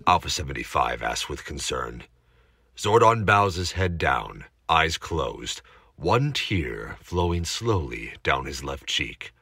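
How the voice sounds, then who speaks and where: A man's voice: very deep voice, big pitch swings, slow pace, sharp tone, slightly rough, no room sound, clean studio sound. A man narrating a scripted audio drama in a dramatic, suspenseful storytelling manner.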